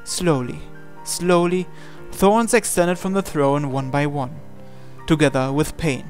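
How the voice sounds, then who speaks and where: A man reading scripted, dramatic story narration in a measured, solemn way. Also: on the high side, fairly even intonation, a little mellow. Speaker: narrator reading translated game text. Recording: music behind the voice. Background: music.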